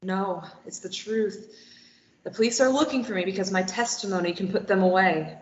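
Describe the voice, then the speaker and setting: A woman reading a defiant captive young woman at a table read, firing back quick retorts. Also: medium pitch, reverberant, highs cut off, a little hiss or hum, close to an everyday voice. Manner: quick retorts, tense and pleading when threatened.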